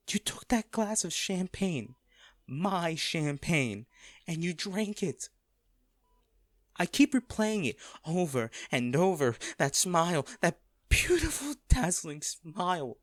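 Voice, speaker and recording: A man acting an anguished wealthy businessman in an intimate audio drama, speaking haltingly and emotionally to a comatose lover, with a gravelly voice. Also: high-pitched, no echo, clean studio sound, exaggerated voice. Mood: anguished, pleading. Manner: halting, emotional, with sighs and repeated words.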